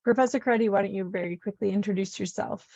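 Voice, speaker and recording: A woman moderating a student presentation, briskly and brightly passing the floor from speaker to speaker. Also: medium pitch, no echo, lo-fi sound. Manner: brisk, upbeat, hands the floor around.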